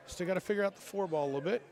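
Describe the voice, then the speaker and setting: A man giving excitable live pool commentary as a team captain, coaching the players aloud. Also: sits high. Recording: loud crowd around.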